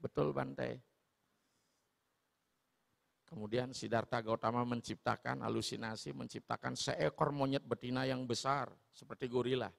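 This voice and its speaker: A man with a Sulawesi accent giving an animated storytelling sermon in a large hall. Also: medium pitch, a little fast, creaky, few pauses. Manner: animated, switching into voices. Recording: long hall reverb.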